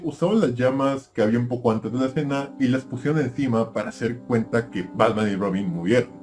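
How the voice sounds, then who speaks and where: A man's voice: mid-range voice, darkish tone, close to an everyday voice. A man playing a nostalgia-obsessed cartoon-review YouTuber, presenting scripted mystery commentary straight to his viewers. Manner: presenter-like, addressing viewers directly.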